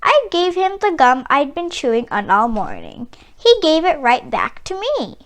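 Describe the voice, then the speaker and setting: A woman reading a children's story aloud in an expressive, theatrical way, drawing out key words for emphasis. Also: sits high, measured pace. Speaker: children's book reader.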